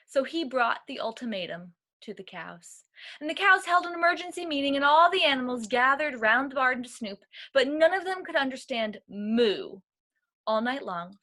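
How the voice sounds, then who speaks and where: A woman teacher giving an expressive, animated read-aloud for young children. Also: on the high side. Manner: expressive, clearly addressing children. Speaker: elementary school teacher.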